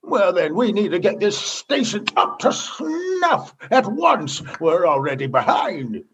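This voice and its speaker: An actor playing a brusque, demanding, paranoid commodore in a comedy radio play, imperious and scolding. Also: male voice, high voice, wildly swooping pitch, slow, a bit bright, slight background noise, full character voice.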